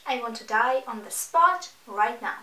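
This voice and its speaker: A woman's voice: on the high side, lively intonation, a little slow, sharp tone. A sarcastic, exasperated woman giving casual reaction commentary as she hosts a book review video. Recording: echoey room, distant mic.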